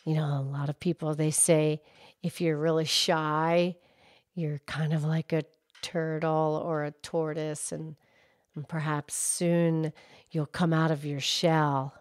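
A woman voicing a calm, knowledgeable grown-up cow puppet, speaking in a soft, measured, teacher-like way in a children's puppet show. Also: deep voice, no echo, clean studio sound. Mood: gentle, measured.

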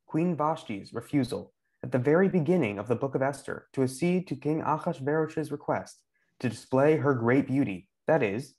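A young adult man gives scripted scholarly commentary. His voice is medium in pitch, and he reads in full explanatory sentences. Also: a little mellow. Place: indoor room at home. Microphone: video call, wired earphones.

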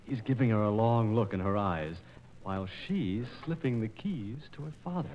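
A man's voice: on the low side, animated, normal speed, a little hiss or hum. A man narrating the action of a staged opera as a 1940s radio announcer. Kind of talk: 1940s radio commentary over an opera broadcast. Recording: muffled 1940s radio transcription disc.

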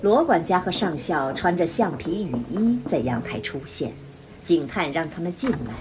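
A woman's voice: lowish voice, big pitch swings, moderate pace, background noise. A woman narrating a scripted radio drama, reading out the scene directions.

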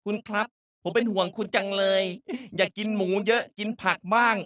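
A woman's voice: medium pitch, fairly even intonation, brisk, fairly bright tone, no room sound, close to an everyday voice. A woman voicing a bratty, playful, exaggerated cartoon character for a card-game voice pack. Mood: polite, gentle.

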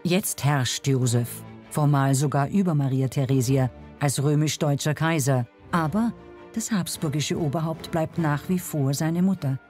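Mellow female documentary narrator. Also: sits low, a little slow. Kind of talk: scripted narration. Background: faint music.

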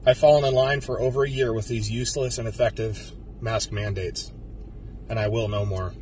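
An American man in his thirties, a police officer, giving a solemn, deliberate prepared speech to camera in a car. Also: mid-range voice, fairly even intonation, a little mellow. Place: inside a car. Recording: slightly distant mic, faint car noise.

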